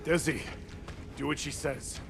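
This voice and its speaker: A man's voice: on the high side, steady tone, unhurried, vocal fry, music in the background. An actor playing a stubborn, driven man working under pressure in a video game, terse and dogged. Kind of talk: dramatic game voice acting.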